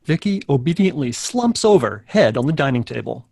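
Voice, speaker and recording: A man's voice: medium pitch, sing-song, moderate pace, gravelly, a little mellow, no echo. A man acting as narrator, reading scripted stage directions for a table read.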